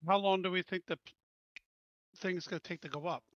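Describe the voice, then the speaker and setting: A man's voice: high-pitched, steady tone, a little fast, fairly bright tone, no echo, lo-fi sound. A man chatting casually as a player in a tabletop roleplaying game. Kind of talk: casual chat.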